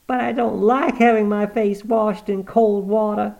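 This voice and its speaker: A man reading aloud, voicing a sharp-tongued, stubborn old woman on her deathbed who scolds and commands. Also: medium pitch, steady tone, unhurried, creaky, pitched above the performer's own voice. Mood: scolding. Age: 80s.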